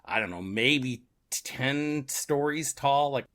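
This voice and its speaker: Male co-host, mid-pitched with a bright, sharp edge, chatty and halting, circles back on his words, chuckles readily. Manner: rambling, halting, repeats words, chuckles often. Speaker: podcast co-host. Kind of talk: casual chat.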